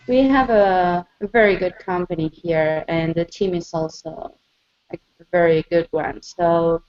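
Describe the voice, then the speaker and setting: A woman from Argentina answering interview questions over a video call, relaxed and a little hesitant. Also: fairly low pitch, dull audio. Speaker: guest, remote worker.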